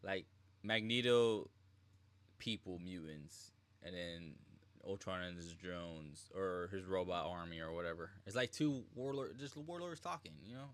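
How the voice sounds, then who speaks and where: A man's voice: low voice, measured pace. A man streaming live reactions to a video game, speaking casually in a slangy, rambling way. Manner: slangy, rambling, mimics characters in quotes.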